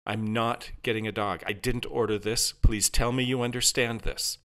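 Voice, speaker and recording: A man's voice: lowish voice, fairly even intonation, moderate pace, fairly bright tone. A man voicing a perplexed, exasperated ordinary man in an audiobook story, hesitant and protesting. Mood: frustrated.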